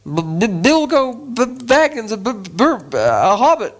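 A man's voice: very high voice, big pitch swings, measured pace, voice pushed up, heavily acted. A man voicing a small, frightened male hobbit who stammers and pleads. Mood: terrified.

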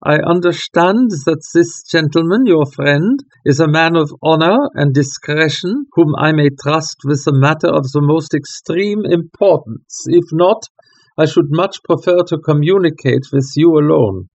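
A man's voice: fairly high pitch, unhurried. A man voicing a haughty, agitated European king who speaks in a formal, stately way. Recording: dull lo-fi recording.

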